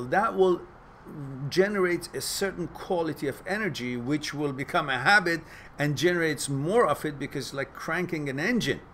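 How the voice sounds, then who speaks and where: A man from Iran, a Farsi speaker, hosting a casual live chat and giving earnest, reflective advice with loose pauses and fillers. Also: medium pitch, fairly bright tone, slight background noise. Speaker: host reading live chat questions.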